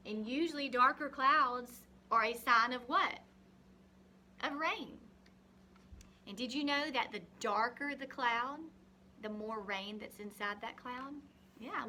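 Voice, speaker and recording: A woman teacher reading a picture book aloud expressively to young children, pausing to ask guiding questions and explain things simply. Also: fairly high pitch, pushed and bright, a little hiss or hum.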